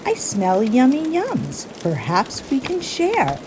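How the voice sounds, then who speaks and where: A woman's voice: sits high, huge pitch swings, slow pace, background noise, pitched above the performer's own voice, exaggerated voice. A woman voicing a friendly, food-loving badger in a children's storybook, cheery and coaxing. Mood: eager.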